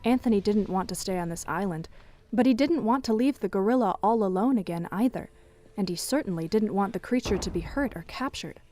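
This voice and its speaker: A woman's voice: medium pitch, brisk, dark tone. A woman narrating a scripted adventure story for a fan audio drama.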